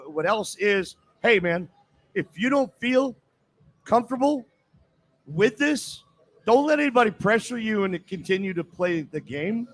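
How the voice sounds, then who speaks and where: High-pitched man hosting sports talk. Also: lively intonation, unhurried, a bit bright. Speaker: sports show host.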